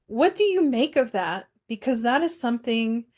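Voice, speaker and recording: A woman co-hosting a casual film discussion, recapping in an informal, conversational way with animated retelling. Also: fairly high pitch, moderate pace. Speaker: podcast co-host.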